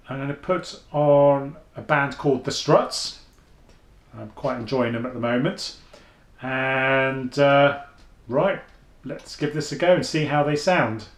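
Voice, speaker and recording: A man, chatting through a casual headphone review at home and thinking aloud as he goes. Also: reverberant. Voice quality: deep voice. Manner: chatty, thinking aloud, with frequent restarts and fillers. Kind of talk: casual product review vlog. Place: at home, indoors.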